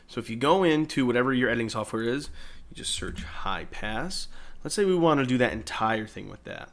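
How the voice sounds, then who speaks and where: A man's voice: mid-range voice, animated, a little fast. A man narrating a video editing tutorial in an informal, explanatory way. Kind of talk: casual screen-recorded tutorial narration. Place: indoors, voice-over at a computer.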